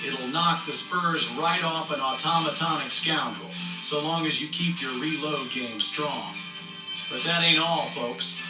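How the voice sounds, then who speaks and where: Swaggering cowboy pitchman played by a man, Western American drawl, slightly high voice, hokey radio ad patter over guitar music. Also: echoey room, recorded from a distance, natural voice, low-quality recording. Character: folksy cowboy pitchman for war bonds. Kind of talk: in-world radio advertisement.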